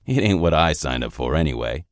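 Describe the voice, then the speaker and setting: A man voicing a gruff, foul-mouthed veteran army sergeant who drawls in slang. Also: extremely low pitch, animated, brisk, no room sound, clean studio sound. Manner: crude, swearing, drawling slang.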